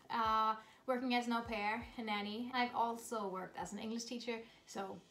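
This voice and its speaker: A woman's voice: fairly high pitch, bright, forceful tone. A woman in her thirties from Sweden with a Swedish accent, chatting upbeat and bubbly through a vlog Q&A. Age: 30s. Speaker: vlogger. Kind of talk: casual Q&A vlog.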